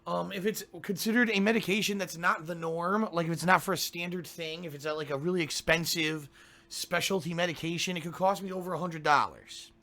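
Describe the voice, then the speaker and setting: A man with a cold, hoarse and congested, chatting casually to his viewers before a game stream, grumbling and ranting and clearing his throat often. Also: on the high side, fairly even intonation, a little fast, pushed and bright.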